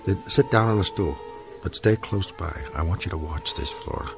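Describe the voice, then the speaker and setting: An actor playing an obsessed, irascible older husband in a radio drama, speaking in a pompous, ranting, formal way. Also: male voice, deep voice, moderate pace, gravelly. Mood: grimly determined. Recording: radio drama with music underneath.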